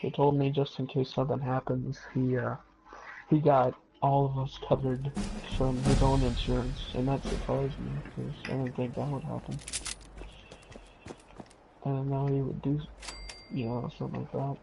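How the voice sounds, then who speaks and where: Young man rambling casually over clear background noise. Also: medium pitch, monotone, normal speed. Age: around 20. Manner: sweary, digressive. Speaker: gaming streamer. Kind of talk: casual rambling storytelling while gaming.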